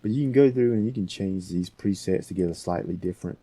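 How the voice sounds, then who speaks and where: A man giving a casual software tutorial as host, rambling a little and thinking aloud as he talks through steps. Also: sits low, fast.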